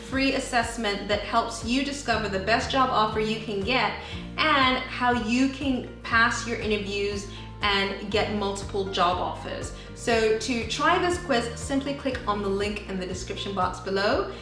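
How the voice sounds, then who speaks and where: A woman's voice: on the high side, a bit bright. A woman giving instructional career advice straight to viewers, speaking in an emphatic, explanatory, step-by-step way. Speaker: career coach hosting an advice video. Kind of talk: direct-to-viewer instructional talk. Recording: echoey room, distant microphone.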